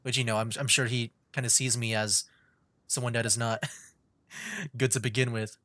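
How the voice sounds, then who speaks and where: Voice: mid-range voice, level tone, moderate pace, fairly bright tone, no room sound. A streamer casually commenting on a game, thinking aloud informally and reading dialogue choices out.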